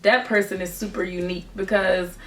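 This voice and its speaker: A young woman rapper with a naturally raspy voice, giving casual, earnest advice to her viewers. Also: on the low side, level tone, brisk, a bit bright. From Virginia, United States. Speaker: rapper and vlogger. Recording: voice from a distance with faint music.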